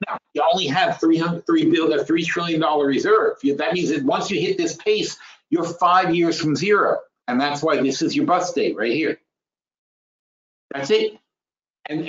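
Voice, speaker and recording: A man of fifty-five giving an informal, explanatory financial lecture as a webinar host, blunt and emphatic, thinking aloud, repeating phrases and asking rhetorical questions. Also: fairly high pitch. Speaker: webinar host and trading educator. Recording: roomy, dull lo-fi webinar audio.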